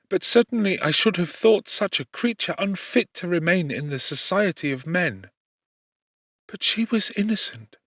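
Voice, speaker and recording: A male narrator voicing a gentle, grieving young woman in an audiobook, pleading and earnest. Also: high voice, no room sound, pitched above the performer's own voice, heavily acted. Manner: pleading, earnest, softly lifted voice. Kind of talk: scripted audiobook reading. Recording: dry close studio.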